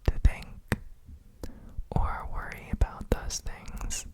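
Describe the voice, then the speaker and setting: Female ASMR guide: all whisper, extremely slow and unhurried, breathy and soothing, for sleep relaxation. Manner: gentle, soothing, unhurried with frequent pauses. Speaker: ASMR host guiding relaxation.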